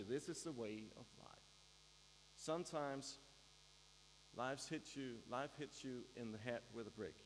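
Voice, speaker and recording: A man delivers a formal graduation speech in a deliberate manner, pausing for emphasis. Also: a little hiss or hum. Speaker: graduation ceremony speaker. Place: large hall at a university ceremony, mains hum behind. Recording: echoey hall, humming low-quality live-stream.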